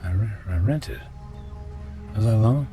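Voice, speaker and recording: An actor playing a self-absorbed, weary wealthy businessman in a radio drama, halting and brooding. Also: fairly low pitch, expressive. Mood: groggy, confused. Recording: music behind the voice.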